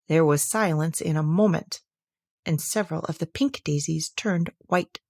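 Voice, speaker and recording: A woman's voice: deep voice, normal speed, darkish tone, no room sound, studio quality. A woman narrating a children's story as a scripted audiobook reading. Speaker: audiobook reader.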